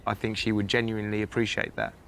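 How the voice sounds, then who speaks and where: A man's voice: on the low side, flat delivery, quick pace. A young man born in Turkey planning his own wedding, talking in a chatty, upbeat way and laughing easily as he haggles and makes bookings. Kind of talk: casual reality documentary talk.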